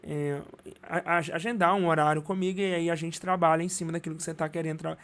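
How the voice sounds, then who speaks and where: A man with a Brazilian Portuguese accent teaching singing in a live online class, talking casually with many restarts and quick checks. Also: fairly high pitch, fairly even intonation, a bit bright. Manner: casual and rambling, many restarts and tag questions.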